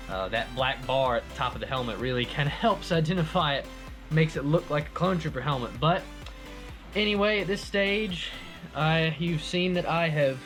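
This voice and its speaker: A man presenting a hobby build in a casual, informal how-to narration style. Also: fairly high pitch.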